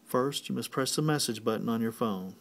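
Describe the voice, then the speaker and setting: A man's voice: mid-range voice, brisk, creaky. A man giving a step-by-step tutorial as a technician, explaining plainly and methodically. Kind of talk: instructional step-by-step walkthrough.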